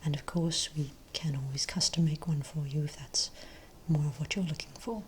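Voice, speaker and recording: Soft, breathy, low-voiced woman in ASMR role play. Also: steady tone, normal speed, a little hiss or hum. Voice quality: soft, breathy, often near-whispered. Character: friendly long-established magic wand shop owner.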